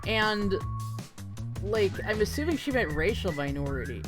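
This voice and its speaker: A woman's voice: medium pitch, big pitch swings, normal speed, music in the background. Blunt sarcastic woman streamer. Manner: blunt, sarcastic running commentary. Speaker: streamer reacting to a live show. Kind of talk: casual live-stream commentary.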